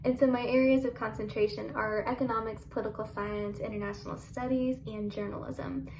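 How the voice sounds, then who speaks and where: A young woman with a slightly high voice and plenty of gravelly vocal fry speaks in a composed, articulate way. She sounds set back from the mic, with background noise clearly audible. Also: steady tone, a little fast, far from the mic. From Boerne, Texas. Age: young adult. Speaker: student. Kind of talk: casual video chat to camera.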